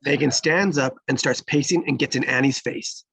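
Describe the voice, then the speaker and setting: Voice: mid-range voice, normal speed, vocal fry, a bit bright, husky, no echo, low-quality recording. A narrator reading screenplay stage directions aloud in a scripted table-read style.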